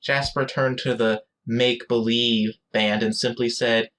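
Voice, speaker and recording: A man's voice: medium pitch, level tone, unhurried, fairly bright tone, soft background music. A man reading scripted narration for a story as its narrator.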